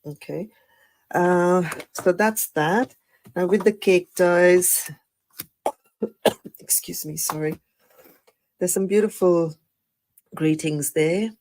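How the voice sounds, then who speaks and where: A woman with a croaky voice after the flu, chatting through a live card-making tutorial with many fillers and apologies. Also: fairly low pitch, slow pace, pauses often, darkish tone. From Australia. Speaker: craft demonstrator.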